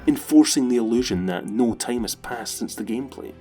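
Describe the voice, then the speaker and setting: A man's voice: mid-range voice, fairly quick, dark tone, faint music behind. A man delivering scripted narration as the presenter of a video essay.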